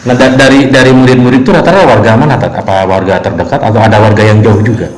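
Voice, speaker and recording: A man asking casual interview questions in an informal, halting way, rephrasing as he goes. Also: fairly low pitch, faint music behind. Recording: echoey room.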